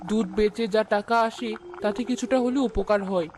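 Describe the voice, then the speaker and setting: A woman playing a gentle, practical, ageing village mother in a cartoon, with a coaxing manner. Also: mid-range voice, steady tone, moderate pace, a little hiss or hum, natural voice. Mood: gentle, soothing. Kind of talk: Bangla cartoon story dialogue.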